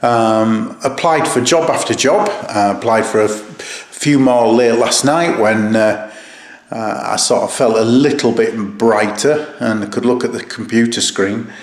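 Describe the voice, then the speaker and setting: A man's voice: mid-range voice, a little slow. A man in his fifties talking casually in a personal video diary, rambling and hesitant in a matter-of-fact way. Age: 50s. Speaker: vlogger. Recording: echoey room.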